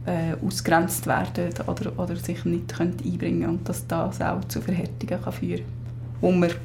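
Low, gravelly woman, flat speech. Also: a little fast, noisy background. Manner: rambling, many fillers and restarts. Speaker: project leader. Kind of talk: interview answers.

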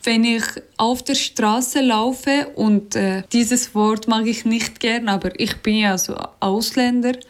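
A woman's voice: on the high side, fairly even intonation, takes it slowly, hardly any pauses. A woman speaking German as a second language, answering interview questions hesitantly with many fillers and restarts. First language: not German.

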